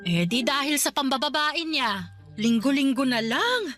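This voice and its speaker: A woman's voice: sits high, sing-song, unhurried, fairly bright tone, heavily acted. A woman playing an aggrieved middle-aged mother and betrayed wife in a Filipino radio drama, complaining dramatically in a Filipino accent. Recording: music bed behind the voice.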